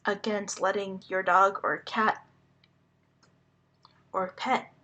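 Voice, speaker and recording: A woman whose first language is Hungarian, speaking to the public in a slow, earnest monologue, halting and deliberate with many pauses. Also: mid-range voice, flat delivery, sharp tone, lo-fi sound. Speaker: vlogger addressing the public.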